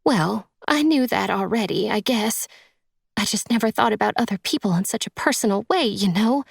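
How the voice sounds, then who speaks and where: A woman's voice: medium pitch, lively intonation, moderate pace, creaky, husky, dry recording, clean studio sound, pitched above the performer's own voice. A woman voicing a tearful, vain young trophy wife in an audiobook, with a whiny, sniffly delivery. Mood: sulky, defeated.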